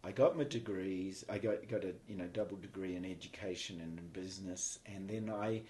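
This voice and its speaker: Low-voiced New Zealand man reminiscing. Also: fairly quick, low-quality recording. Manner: reflective, meandering with restarts and soft laughs. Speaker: guest, spiritual teacher. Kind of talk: casual storytelling interview.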